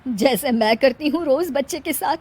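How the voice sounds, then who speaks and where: A woman's voice: high voice, moderate pace, very noisy, natural voice. A woman voicing a weary, wry, grumbling older mother-in-law in a moral story. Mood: pointed.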